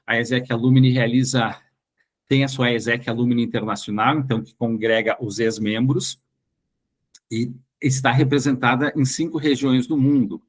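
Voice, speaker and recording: A man with a Brazilian accent and a mid-range voice tells of his own experiences as a guest. He speaks in a halting way, repeating and rephrasing words as he goes. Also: mid-range voice, flat delivery. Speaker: interview guest. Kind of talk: recounting personal experiences in an interview.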